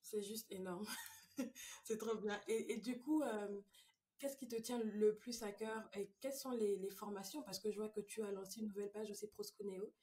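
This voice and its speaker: A woman's voice: medium pitch, steady tone, moderate pace, warm tone. A woman hosting a casual podcast interview in an effusive, admiring manner. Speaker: podcast host.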